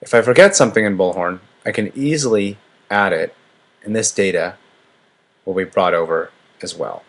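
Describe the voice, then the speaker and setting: Low-pitched, slightly husky male demo narrator. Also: normal speed. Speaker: software demo presenter. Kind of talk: scripted product demo narration.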